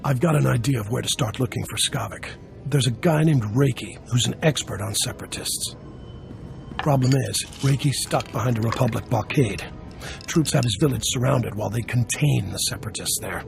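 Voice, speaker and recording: A wry, gravelly male underworld dealer for a sci-fi game, scheming and worldly. His mid-pitched voice creaks over music. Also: moderate pace. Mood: grim, businesslike.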